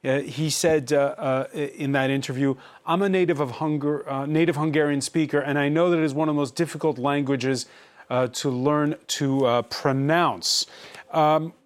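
A man's voice: a little high, fairly even intonation, normal speed, clear, resonant tone. A man hosting an interview, asking probing questions with frequent hesitations and filler sounds. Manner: probing, hesitant with many uhs and ums. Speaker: interviewer host.

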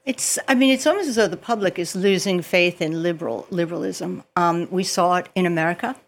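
A woman's voice: fairly low pitch, fairly quick. A woman journalist giving her views as a guest on a news panel, thinking aloud with ums and restarts. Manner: thoughtful, with frequent ums and restarts.

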